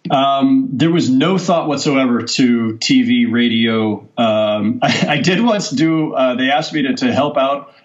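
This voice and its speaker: A man's voice: mid-range voice, a little slow, few pauses, muffled recording. A sportswriter in his forties, a guest on a podcast, telling his story in a thoughtful, rambling way. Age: 40s. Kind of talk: casual interview talk.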